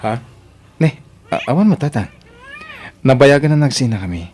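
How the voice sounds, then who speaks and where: An actor playing a respectful, earnest grown son in an audio drama, with an Ilocano accent. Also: male voice, medium pitch, expressive, normal speed, darkish tone, close to an everyday voice.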